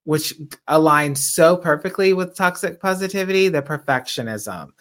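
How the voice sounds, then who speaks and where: A man co-hosting a podcast, with a naturally high voice. He talks in a reflective, self-aware way and grows animated when he presses a point. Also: moderate pace. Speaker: podcast co-host. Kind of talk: casual conversational chat.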